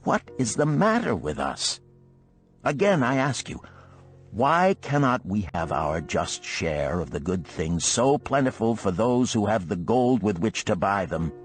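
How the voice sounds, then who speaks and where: A man's voice: fairly low pitch, sing-song, unhurried, faint music behind. A man voicing a middle-aged, discontented chariot builder of ancient Babylon in a storybook, earnest and declamatory, with archaic phrasing. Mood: yearning, sad.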